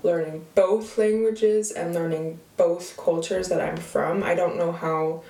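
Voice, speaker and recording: A woman's voice: fairly low pitch, steady tone, measured pace, vocal fry, warm tone. A young woman with Dutch and American roots who co-hosts a podcast, chatting casually and asking her partner questions. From Netherlands and USA. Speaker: podcast co-host. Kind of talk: casual chat. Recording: echoey, off-mic.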